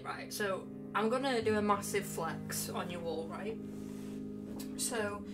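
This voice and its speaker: A young woman streaming casually, rambling and sweary with a self-mocking streak. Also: medium pitch. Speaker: game streamer. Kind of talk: casual chatty livestream. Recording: loud background music. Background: game music with guitar.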